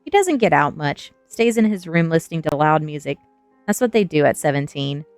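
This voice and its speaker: A woman's voice: low-pitched, big pitch swings, brisk, darkish tone, no room sound, faint music behind. Woman acting creepy old European man, thick accent. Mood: droll, courtly. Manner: formal, courtly. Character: bony, creepy old European butler, courtly and droll.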